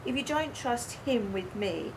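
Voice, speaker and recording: An actress playing a wry, sharp, defiant woman in a naturalistic radio play. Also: on the high side, animated, measured pace, a little hiss or hum, low-quality recording. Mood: sharp, challenging. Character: adult woman, wry, sharp, defiant, confessing to her partner. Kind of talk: naturalistic radio play acting, dialogue and monologue.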